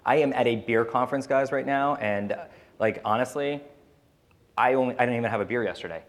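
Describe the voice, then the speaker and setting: A man's voice: medium pitch, a little fast. A man speaking as a podcast host on a panel, telling personal stories in a casual, candid, rambling way with some swearing.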